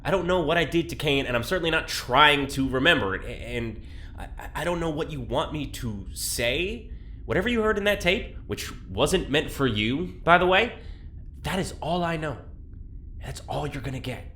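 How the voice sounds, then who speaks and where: An actor playing a reluctant test subject being questioned, hesitant and stammering, turning sharp. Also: mid-range voice, lively intonation, moderate pace, lightly acted. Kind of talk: audio drama acting.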